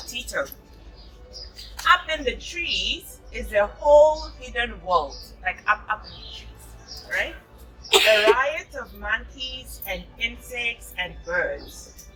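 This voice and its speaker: Woman storybook reader for young children, unhurried and bright, encouraging and patient, chatty between passages, music behind her. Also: mid-range voice, rough recording. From South Africa. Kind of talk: reading a picture book aloud to children, with chatty asides.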